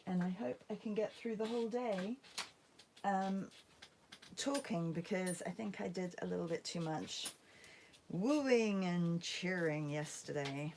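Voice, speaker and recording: Croaky-voiced woman hosting an art livestream. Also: lowish voice, unhurried, a little mellow, slight background noise, rough recording. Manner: chatty, greets viewers by name. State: hoarse after a concert. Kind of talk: casual livestream chat while painting.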